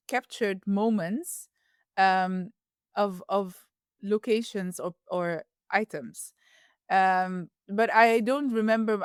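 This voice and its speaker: A woman's voice: on the high side, clean tone, no room sound, clean studio sound. A woman from Ethiopia who grew up in the Netherlands, speaking as a podcast guest in a reflective, casual way with frequent fillers and restarts. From Ethiopia, raised in the Netherlands. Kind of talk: casual interview conversation.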